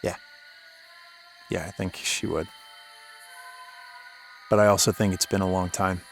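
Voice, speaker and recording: An actor playing a broken-down, haunted radio DJ in a horror audio drama, hesitant and defensive. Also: male voice, low-pitched, fairly even intonation, unhurried, faint music behind. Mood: guarded, defensive. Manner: hesitant, guarded.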